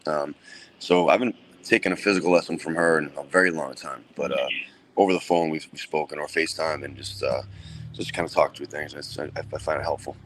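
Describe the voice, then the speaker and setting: A man in his forties with a very low voice, speaking in a relaxed, plain way with frequent ums and restarts. A faint noise sits behind him. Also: a little fast, low-quality recording. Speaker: metal band vocalist, guest. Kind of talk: casual interview answers.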